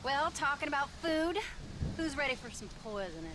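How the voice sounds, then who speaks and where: A woman's voice: high-pitched, big pitch swings, moderate pace, background noise. A woman playing a no-nonsense American frontier wife and mother in a video game, with a sardonic, scolding way of talking. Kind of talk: video game cutscene acting. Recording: game scene under loud music.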